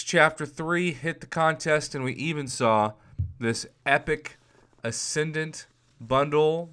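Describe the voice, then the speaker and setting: A man in his thirties with a hoarse voice, talking casually to his viewers and working through game prices out loud with animated emphasis. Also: mid-range voice. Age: 30s. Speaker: mobile game YouTuber. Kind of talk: casual video commentary.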